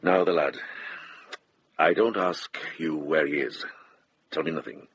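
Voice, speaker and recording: A middle-aged monk who investigates crimes in a radio play. His voice is extremely deep and rough-edged. He speaks directly, with brisk, probing questions. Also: highs cut off, heavily acted. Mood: brisk, earnest. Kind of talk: radio drama acting.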